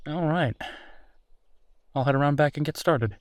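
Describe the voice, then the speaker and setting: A man's voice: a little high, normal speed, no room sound, clean studio sound, natural voice. A man playing a friendly, easygoing werewolf in a roleplay audio drama, talking casually and reassuringly with small hesitations. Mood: easygoing, considerate. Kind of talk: roleplay audio drama, natural one-sided conversation.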